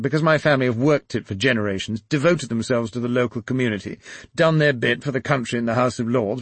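A man's voice: medium pitch, no room sound, pitched above the performer's own voice, close to an everyday voice. A man voicing an aggrieved, blustering older aristocrat who speaks. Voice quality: indignant, blustering.

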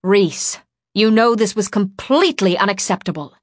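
A woman's voice: fairly low pitch, brisk, breathy edge, dry recording, studio quality. A woman voicing a stern, commanding older woman with a severe, clipped manner in an audiobook. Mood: furious, scolding. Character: stern, furious older woman of authority, imperious and scolding. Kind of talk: audiobook character dialogue.

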